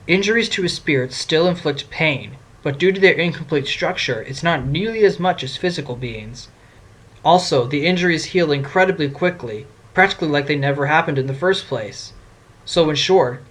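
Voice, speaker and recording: A man's voice: fairly high pitch, fairly bright tone. A man playing an assured, wry fox defense lawyer, explaining things in an audio drama. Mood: explanatory. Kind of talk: amateur audio drama acting.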